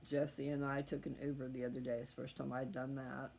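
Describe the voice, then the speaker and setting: Male, gravelly mid-pitched voice, folksy rambling chat, faint noise behind. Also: monotone, brisk, darkish tone. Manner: folksy, meandering, thinking aloud. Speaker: host of a scripture reading channel. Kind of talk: rambling casual chat to viewers.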